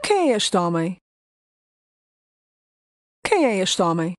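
A woman's voice: lowish voice, very animated, takes it slowly, dry recording. A woman with a European Portuguese accent, carefully repeating model phrases for a language course. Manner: clear, careful repetition of phrases.

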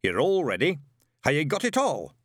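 This is a zealous old sergeant barking a kit checklist, rough and rather high. His pitch bounces around a lot, and the recording is dry and close, with a man doing the voice. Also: wildly swooping pitch, moderate pace, no room sound, exaggerated voice. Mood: commanding. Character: old male Scottish witchfinder sergeant, gruff and zealous.

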